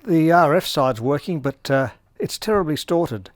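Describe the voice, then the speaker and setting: Casting: male tinkerer, slightly high-pitched, casual demonstrator who thinks aloud, dry close sound. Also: expressive, moderate pace, no room sound. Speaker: hobbyist radio repairer and presenter.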